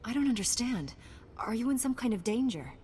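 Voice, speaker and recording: A woman's voice: on the high side, very animated, brisk, warm tone, big-room sound, a little hiss or hum. A woman playing a firm, righteous young female knight in a sci-fi video game cutscene. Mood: calm, concerned.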